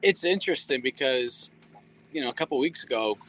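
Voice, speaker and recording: A man in his thirties, a sports reporter, talking earnestly in a relaxed interview with many fillers. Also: medium pitch, brisk, background noise. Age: 30s. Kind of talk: casual interview talk.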